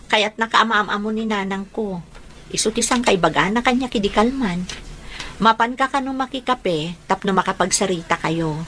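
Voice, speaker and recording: Casting: woman as young radio-drama daughter, bright forceful tone, coy and protesting, over noisy ambience. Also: mid-range voice, moderate pace, natural voice. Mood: casual. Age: young adult. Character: young single working daughter, bashful and exasperated. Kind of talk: Ilocano radio comedy drama acting.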